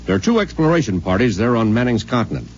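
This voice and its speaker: A man's voice: mid-range voice, very animated, quick pace. An American man playing a sober, businesslike space fleet commander in 1950s radio drama, curt and authoritative. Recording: muffled old radio recording, faint hum.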